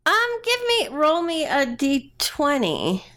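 High, lively woman running a game. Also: slow, background noise. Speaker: game master.

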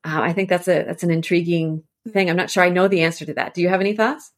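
A woman speaking as an expert guest in a conversational podcast interview, explaining things informally with animated asides. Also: lowish voice, fast. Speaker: expert guest, annotated edition editor.

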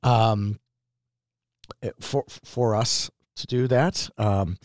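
A man's voice: fairly low pitch, extremely slow, dry recording, clean studio sound. A man with a Minnesotan accent co-hosting a sports podcast in loose, teasing, casual chat. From Minnesota, United States.

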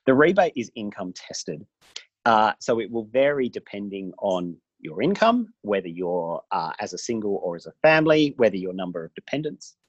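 A man, an official calmly explaining government health insurance rules in an interview, measured and careful. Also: on the low side, breathy edge, dry recording, dull audio.

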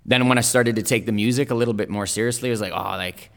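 A man's voice: lowish voice, fast, vocal fry, fairly bright tone, in a large hall. A man whose first languages are German and Italian, a rapper guest talking in a laid-back, slangy way with lots of fillers and restarts. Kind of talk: casual interview chat.

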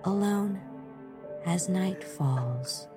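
A woman reading a horror story aloud in a slow, hushed narrating manner. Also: fairly low pitch, expressive, over music. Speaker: story reader.

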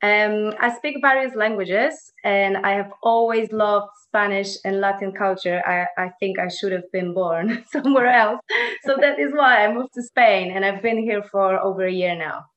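A woman's voice: medium pitch, normal speed, a bit bright. A chatty woman joins a podcast as a cheerful guest. Her voice comes through muffled, lo-fi call audio. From Poland. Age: 30s.

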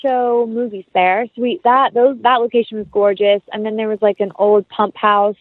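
A woman's voice: mid-range voice, steady tone, normal speed, no room sound, phone-quality sound. A woman chatting casually and informally as an actress being interviewed, rambling as she explains. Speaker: interview guest, film actress.

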